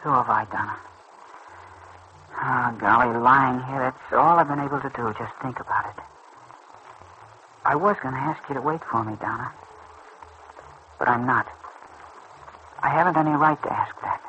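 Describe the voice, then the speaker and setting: An actor playing an earnest, boyish teenage lad, tender and proud, in a mid-century radio soap style. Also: male voice, medium pitch, moderate pace, pauses often, close to an everyday voice. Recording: muffled, noisy old radio recording.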